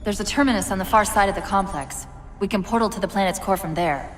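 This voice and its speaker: An actress playing a witty, analytical female AI companion in a video game, explaining things briskly with dry humor. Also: mid-range voice, close to an everyday voice. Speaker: game character. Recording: game audio with music.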